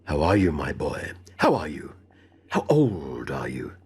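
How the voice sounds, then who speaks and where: A man voicing an eager, absent-minded elderly gentleman who stammers, repeats himself and chuckles in a storybook reading. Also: very deep voice, big pitch swings, unhurried, a little hiss or hum, voice pushed down, heavily acted. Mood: eager, fussy. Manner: stammering, repetitive, hemming and chuckling.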